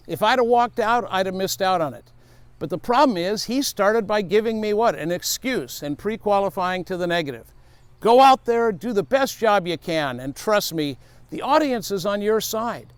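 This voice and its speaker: A man in his fifties, a safety speaker, giving an energetic, emphatic speaking tip and repeating his key rule. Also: high voice, clean tone, dry recording. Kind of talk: motivational speaking tip to camera.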